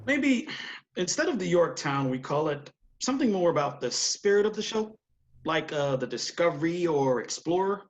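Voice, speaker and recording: A man's voice: on the high side, very animated, normal speed. A man playing a cautious, easily exasperated television producer in a screenplay table read. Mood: supportive.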